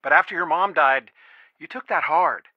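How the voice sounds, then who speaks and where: A man's voice: fairly high pitch, normal speed, no room sound. An actor playing a worried, protective middle-aged father in a video game, earnest and pleading, heard through a telephone filter. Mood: wistful, tender. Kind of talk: naturalistic video game dialogue, one side of a phone call. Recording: dry, band-limited phone-like sound.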